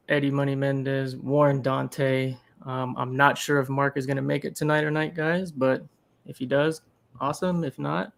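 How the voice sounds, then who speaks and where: Male livestream host, gravelly, a little higher-pitched than most men, relaxed and easygoing, on a video call. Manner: laid-back, says "man" a lot. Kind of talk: casual livestream chat.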